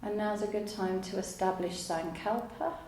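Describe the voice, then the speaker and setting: A woman teaching yoga nidra, guiding a relaxation meditation in a calm, soothing, unhurried way with long pauses. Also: medium pitch. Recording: roomy echo, faint background noise.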